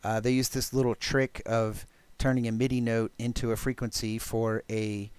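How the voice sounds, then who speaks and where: A man giving a live technical walkthrough of code as a presenter, explaining deliberately and haltingly with pauses. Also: medium pitch, flat delivery, darkish tone, clear, resonant tone, no room sound. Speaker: presenter of a programming talk.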